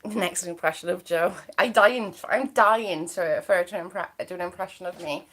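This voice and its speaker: A woman's voice: on the low side, normal speed, fairly bright tone. A woman with a Welsh accent from near the English border, hosting a livestream and chatting in a bubbly, gossipy way while reading out viewer comments. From Wales. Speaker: livestream host.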